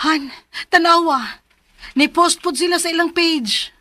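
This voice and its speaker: High-pitched distressed young Filipina bride-to-be. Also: female voice, a little slow, pushed and bright, full character voice. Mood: worried, coaxing. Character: distressed young bride-to-be whose wedding falls apart. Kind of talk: Filipino radio drama acting.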